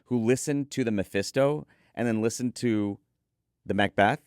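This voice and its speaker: A man's voice: medium pitch, normal speed, darkish tone, clean tone, no room sound, studio quality. A man hosting a conversational product preview, reading out specs and chatting with enthusiasm about them. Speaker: host presenting an audio gear preview.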